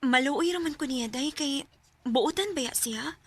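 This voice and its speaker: A woman's voice: high-pitched, unhurried. A woman with a Filipino Cebuano accent playing a shy young sweetheart and dutiful daughter in a radio drama. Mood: bashful, pleading.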